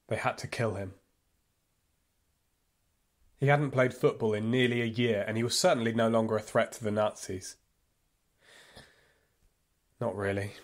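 An actor playing a fervent, bitter football supporter recalling the Nazi era in an audio play monologue, with deliberate pauses. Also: male voice, fairly low pitch. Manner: impassioned storytelling with deliberate pauses. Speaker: narrator. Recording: music behind the voice.

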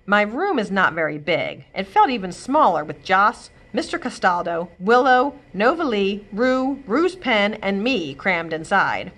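Lively woman reading a storybook aloud. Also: mid-range voice, a little slow, few pauses. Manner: expressive, lively character voices. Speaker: children's librarian reading aloud. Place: library, indoors.

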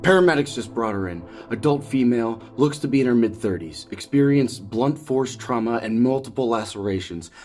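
A man's voice: mid-range voice, animated, brisk, hardly any pauses, darkish tone, soft background music, close to an everyday voice. An actor playing an urgent emergency medical staffer, a man in an audio drama. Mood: urgent, clinical.

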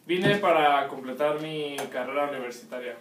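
A man's voice: fairly high pitch, moderate pace, fairly bright tone. A young man from Mexico giving brief, matter-of-fact interview answers in a small indoor room. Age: young adult. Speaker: student interviewee. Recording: distant echoey room, faint noise.

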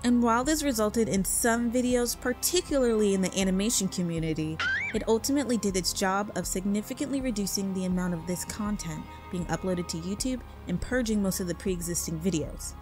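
A woman's voice: mid-range voice, brisk. A woman reading scripted narration as the narrator of a video essay. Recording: background music under voice. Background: music.

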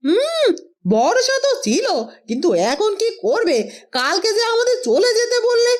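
A woman's voice: very high-pitched, very animated, a little slow, exaggerated voice. A woman voicing a nagging, sarcastic village wife in a cartoon. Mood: annoyed, sarcastic. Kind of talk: cartoon voice acting.

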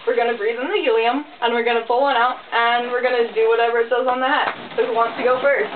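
A woman's voice: a little high, little pitch movement, fast talker, phone-quality sound. A young woman hosting a casual vlog, chatting with friends in a bedroom on a camera mic. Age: young adult. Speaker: vlog host. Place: small bedroom, indoors. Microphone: camera mic at a short distance. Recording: thin, noisy, distant camera mic.

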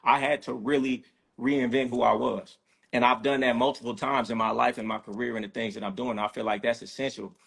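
A man's voice: medium pitch, fairly even intonation, a little fast, gravelly, fairly bright tone, husky. A man from Sacramento talking bluntly and profanely as a guest on a casual video-call podcast. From Sacramento, California.

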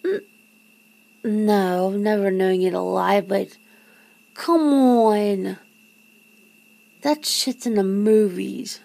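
A woman playing a nervous, teasing, disbelieving girlfriend in an intimate ASMR roleplay, talking haltingly and often dropping toward a whisper. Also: mid-range voice, vocal fry, a little mellow. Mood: amused disbelief. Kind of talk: ASMR roleplay, one side of an intimate conversation.

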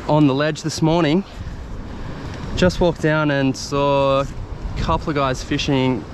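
A man's voice: a little high, a little slow. A man, casually talking through his fishing gear and plans while standing on a rock ledge by the sea, recorded on a head-mounted camera. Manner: relaxed, explaining gear step by step. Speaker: fishing vlogger. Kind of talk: casual first-person fishing commentary. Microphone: head-mounted action camera. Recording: wind and surf noise on camera mic. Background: crashing waves and wind.

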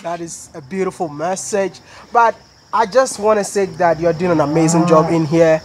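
A young man from Ghana interviewing a farmer outdoors, curious and lively, laughing easily. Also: on the high side, moderate pace, a little hiss or hum. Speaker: interviewer, vlogger. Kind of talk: casual interview. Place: outdoors on a farm.

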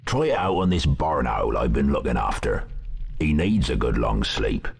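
A huge gamekeeper giant with a rumbling, extremely low voice and a West Country accent. He is plain-spoken and kindly, with lively swings of pitch and noisy ambience behind him, like a video game. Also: normal speed, gravelly, heavily acted. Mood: friendly, warning. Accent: English West Country. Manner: plain-spoken, cautioning, folksy. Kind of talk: video game character dialogue.

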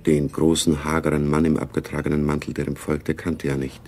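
A man narrating a scripted radio spy drama in a composed, gravelly voice. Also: extremely low pitch, level tone, a little fast, a little mellow, a little hiss or hum. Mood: measured. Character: composed male narrator of a Cold War spy story.

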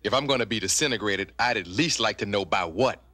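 An actor playing a put-upon, grumbling cartoon ghost hunter. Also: male voice, on the low side, fairly quick, bright, forceful tone. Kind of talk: cartoon voice acting.

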